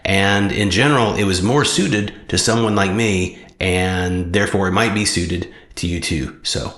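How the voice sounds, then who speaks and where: Host, Southern US man, very low voice, earnest reaction commentary, roomy echo. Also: measured pace, fairly bright tone. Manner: earnest, emphatic agreement. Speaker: channel host reacting to a video.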